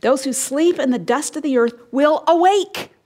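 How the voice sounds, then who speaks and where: A woman preaching an expository sermon, emphatic and rising and falling in tone, sometimes dropping to a hush and asking her listeners questions. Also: high voice, normal speed. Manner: emphatic, rising and falling, drops to hushed lines; explains and asks the listeners questions.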